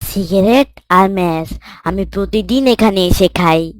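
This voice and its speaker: A cocky young boy from a moral cartoon, acted by a woman, pitched in the middle range for a woman. He coaxes slyly and brushes off objections brashly, in a dry recording. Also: measured pace, no echo, lightly acted. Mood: eager. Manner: coaxing, dismissive, brash. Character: sly, cocky young boy tempting his friends. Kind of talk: children's moral cartoon dialogue.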